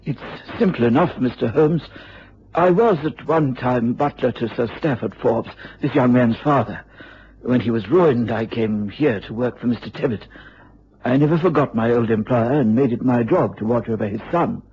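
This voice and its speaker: An actor playing a loyal butler in a radio drama, respectful and hesitant, with an anxious, pleading manner. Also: male voice, mid-range voice, normal speed, slightly rough. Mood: apologetic, earnest. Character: loyal, deferential male butler, anxious and apologetic. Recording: dull radio drama broadcast recording.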